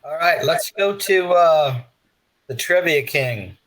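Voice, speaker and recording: A man's voice: a little high, animated, slow pace, fairly bright tone, low-quality recording. Man hosting a group call. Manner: friendly, introduces each speaker. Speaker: host.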